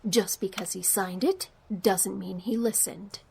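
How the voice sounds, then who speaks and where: A young woman acting in an audio drama as a sharp, wry, tech-savvy younger sister. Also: mid-range voice, steady tone, unhurried, gravelly, a little hiss or hum. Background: music.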